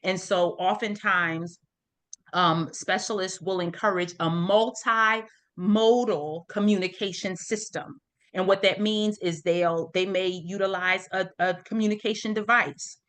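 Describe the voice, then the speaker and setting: A woman's voice: mid-range voice, steady tone, unhurried, fairly bright tone. A middle-aged woman from the United States hosting an online support group, explaining informally and often checking in with her listeners. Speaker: parent support group host. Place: online video meeting. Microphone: video call.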